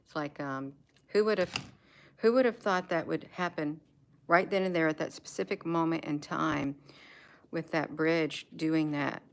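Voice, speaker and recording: Low-voiced American woman chatting casually. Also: unhurried, gravelly, slight background noise. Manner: repeats words and phrases for emphasis. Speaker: vlogger. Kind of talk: casual rambling chat, showing gadgets. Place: indoors at home.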